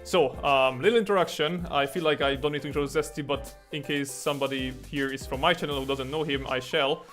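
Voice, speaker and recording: A man's voice: fairly high pitch, fairly even intonation, a little fast, few pauses, fairly bright tone, soft background music. A young man with a Hungarian accent, hosting a casual livestream and rambling with fillers and restarts. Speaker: streamer and artist.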